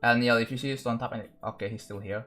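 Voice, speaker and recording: A young-sounding man chats live to his viewers while he works on a game level. His voice is bright and forward, at an everyday pitch. He rambles in loose English, filling gaps with "like" and starting sentences over. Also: steady tone, fast, faint music behind. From Indonesia. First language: Indonesian. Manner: rambling, full of "like" and restarts, talking to chat. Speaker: streamer.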